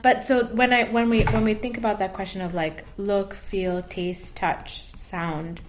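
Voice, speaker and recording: A woman at mid pitch leads the conversation, asking questions and adding short affirmations. Her voice sounds dull and muffled, with a light hiss behind it. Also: fairly even intonation, unhurried. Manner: attentive, prompting with questions and frequent backchannels. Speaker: interviewer. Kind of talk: casual conversation. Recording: muffled with faint background hiss.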